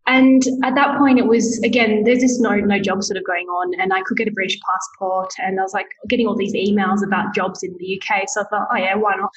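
A woman in her thirties who grew up in Zambia, a dietitian recounting her path as a guest with lively restarts. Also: mid-range voice, rough recording. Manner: lively storytelling, halting restarts. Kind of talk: casual interview chat.

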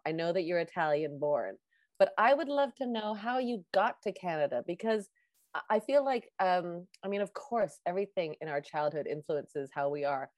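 A woman's voice: lowish voice, darkish tone, no echo, clean studio sound. A woman hosting an interview podcast, talking in an enthusiastic, effusive way. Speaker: podcast host. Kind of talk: conversational interview.

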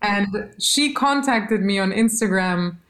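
A woman musician being interviewed, telling stories in a lively, casual way and quoting others as she goes. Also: mid-range voice, slow pace. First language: German. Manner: animated storytelling, quotes others, laughs. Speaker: musician guest.

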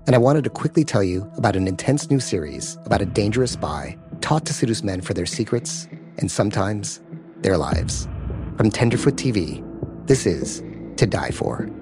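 A man's voice: fairly low pitch, sing-song, moderate pace. A man hosting, reading a scripted podcast promo. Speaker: podcast host. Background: music.

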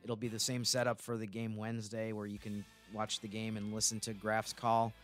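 A man co-hosting a sports podcast, chatting analytically in a measured, careful way. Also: lowish voice, completely flat delivery, a bit bright, faint music behind. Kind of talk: casual analytical chat.

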